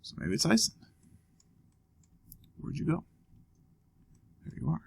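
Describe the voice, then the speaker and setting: A man talks through a guessing game, half to himself, musing and joking as he goes. His voice is gravelly and his pitch rises and falls a lot, with a casual, chatty let's-play delivery. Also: animated, unhurried, darkish tone. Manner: thinking aloud, musing and joking. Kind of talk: casual gameplay commentary.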